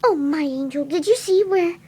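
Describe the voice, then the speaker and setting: A woman voicing a timid, gentle, motherly pegasus pony with a nervous, hesitant stammer in a story reading. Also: extremely high pitch, normal speed. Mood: anxious. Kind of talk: fan fiction dramatic reading.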